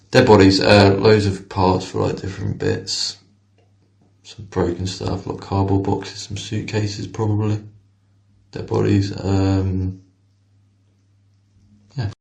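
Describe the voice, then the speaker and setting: Deep voiced guy mumbling thru a deadpan ramble, slow and creaky. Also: echoey room. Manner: deadpan, halting. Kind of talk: rambling deadpan spoken monologue.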